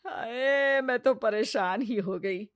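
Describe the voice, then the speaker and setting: A grumbling, self-pitying mother-in-law, voiced by a woman for a cartoon story. She scolds and moans in a slightly high voice. The recording is dry and close-miked. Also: slow pace, no room sound. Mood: complaining. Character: scolding middle-aged Indian mother-in-law, complaining. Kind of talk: cartoon voice acting.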